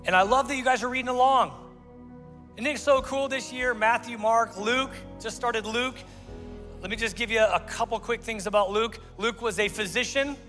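A man in his forties, a pastor preaching an energetic, joking sermon into a handheld mic on a large church stage. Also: very high voice, moderate pace. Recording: live sermon in a large hall with faint music.

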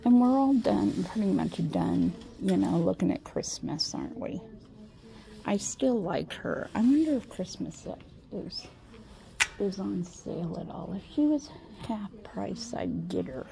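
A woman's voice: medium pitch, sing-song, unhurried, gravelly, warm tone, slight background noise, poor audio. A woman with a Midwestern American accent vlogging casually as she shops, chatty and often dropping to a whisper, filmed on a handheld phone in a large indoor store. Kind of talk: casual shop-with-me chat. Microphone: handheld phone camera.

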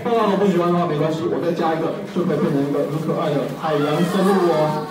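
A young man performing a magic show for children, coaxing and playful, counting out loud with exaggerated energy. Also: fairly high pitch, steady tone, very fast, far from the mic. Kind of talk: live magic show for kids. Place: small party room at a cafe, indoors. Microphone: far camera, no mic. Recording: echoey room, recorded from afar. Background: loud music and crowd of kids.

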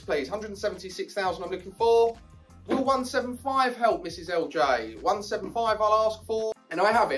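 A man in his thirties with an English accent, working as a property auctioneer and calling bids at a live auction in a brisk, encouraging way. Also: high-pitched, fairly bright tone, distant mic, poor audio. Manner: brisk, upbeat, encouraging bidders. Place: small auction room with banner backdrop. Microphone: podium mic, filmed from a distance.

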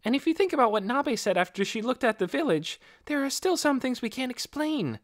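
A man voicing a young fantasy adventurer in an audiobook, calmly reasoning and urging restraint. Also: very high-pitched, a little fast, voice pushed up. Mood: calming, urgent.

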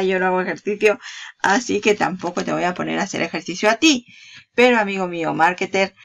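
A woman's voice: mid-range voice, gravelly, muffled recording. A woman giving a casual, chatty walkthrough of a product page, talking straight to the viewer and laughing at herself. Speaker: marketing YouTuber.

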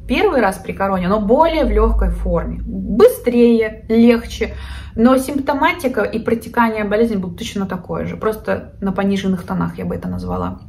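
Woman in her 30s vlogging at home, chatty and animated, talks with her hands, camera close on the desk. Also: medium pitch, a little hiss or hum. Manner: chatty, expressive, gestures a lot with her hands. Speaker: vlogger, psychologist. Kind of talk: casual chat to camera. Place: living room indoors. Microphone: camera at desk distance.

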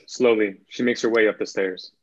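A man's voice: lowish voice, fairly even intonation, moderate pace, dry recording, dull audio. A young man reading stage directions as scripted narration, recorded over a video call. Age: young adult. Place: indoor room at home, video call.

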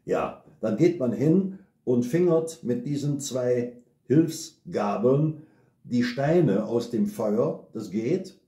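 An older man calmly explaining bushcraft cooking step by step at a living-room table, often ending with "ne?". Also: medium pitch, takes it slowly. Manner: calm, step-by-step explaining with frequent tag "ne?". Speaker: bushcraft expert and father. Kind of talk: relaxed explanatory chat. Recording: echoey room.

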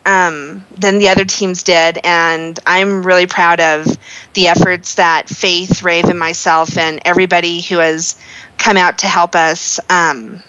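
A woman's voice: on the low side, a bit bright, dry recording, highs cut off. A woman giving earnest, casual interview answers as a member of a charity team. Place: online voice chat in a virtual world.

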